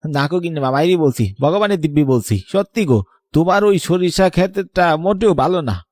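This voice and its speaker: Casting: man as jumpy, tipsy cartoon farmhand, superstitious, excitable, close dry sound. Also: fairly high pitch, brisk, no echo, lightly acted. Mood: shaken, pleading. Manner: servile, excitable. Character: superstitious, easily frightened tipsy village farmhand. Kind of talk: Bengali cartoon dubbing.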